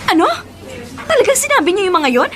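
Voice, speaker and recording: A high, swooping voice for a blunt best friend in radio drama. She scolds in exasperated disbelief over a music bed. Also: sing-song, fairly quick. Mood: skeptical. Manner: scolding, incredulous. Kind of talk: Filipino radio love-story drama acting.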